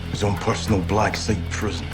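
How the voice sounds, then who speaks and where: An actor playing an eager, wisecracking special forces soldier. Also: male voice, fairly low pitch, steady tone, close to an everyday voice. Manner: quick, joking. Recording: music score behind.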